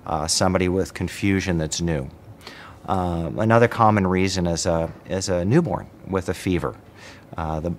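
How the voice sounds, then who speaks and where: A man speaking as an emergency doctor, explaining in a measured, matter-of-fact way in an interview. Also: deep voice, a little hiss or hum.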